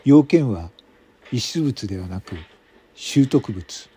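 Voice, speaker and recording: A man narrating a scripted mystery radio drama in measured, separate phrases. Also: medium pitch, animated, mellow, breathy edge.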